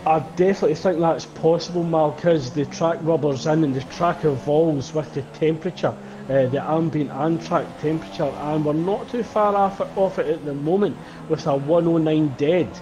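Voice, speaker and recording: A man calling a sim race play by play in a steady, measured manner. His voice is fairly high, and clear noise sits behind it. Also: muffled recording. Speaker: lead commentator. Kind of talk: sim racing play-by-play commentary.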